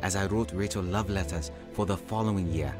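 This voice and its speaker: A man's voice: low voice, brisk, music in the background, lightly acted. An actor playing a humble African evangelist, telling his life story earnestly in a radio drama. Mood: reflective, earnest. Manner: earnest, reflective. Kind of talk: dramatised first-person narration and dialogue.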